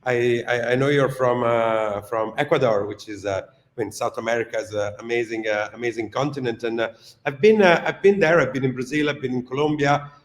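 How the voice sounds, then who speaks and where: A man's voice: medium pitch, a little fast. Hesitant male interviewer, echoey call. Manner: hesitant, many "uh" fillers and restarts. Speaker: interviewer for a craft school. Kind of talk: interview questions and comments.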